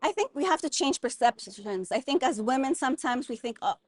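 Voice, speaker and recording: Earnest woman, slightly high voice. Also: animated, brisk, no echo. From Guatemala. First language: German. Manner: thoughtful, earnest, many fillers and restarts. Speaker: guest, mining consultant. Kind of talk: conversational interview.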